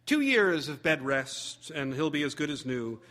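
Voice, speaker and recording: A man acts out a middle-aged mother in a comic story. The voice is bright and measured. She is placid, reassuring and entirely matter-of-fact. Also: a little high, very animated, heavily acted.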